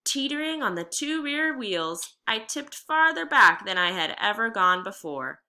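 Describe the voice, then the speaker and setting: Lady teacher doing a read aloud lesson, slow and clear instructions, really lively pitch. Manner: deliberate, instructive, expressive reading.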